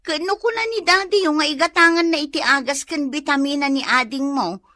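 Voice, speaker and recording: Anxious migrant mother, high-pitched, forceful edge, plaintive, questioning, radio drama. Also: fairly quick, dry recording, studio quality. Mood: insistent, concerned. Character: worried, weary mother working abroad, questioning her family. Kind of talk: Ilocano comedy radio drama acting.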